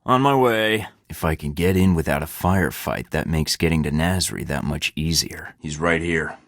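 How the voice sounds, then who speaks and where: Deep-voiced sarcastic spy guy for a game, dry clipped field chatter, clean booth sound. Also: normal speed. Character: wry male secret agent, cool under pressure. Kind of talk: video game voice lines.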